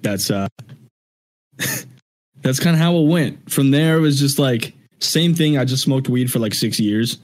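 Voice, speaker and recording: A man's voice: medium pitch, lively intonation, measured pace, long pauses. Rambling, cussing young guy on a call. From United States (Washington State). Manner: swears freely, rambling, laughs often. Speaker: guest, streamer and rapper. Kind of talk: casual chat.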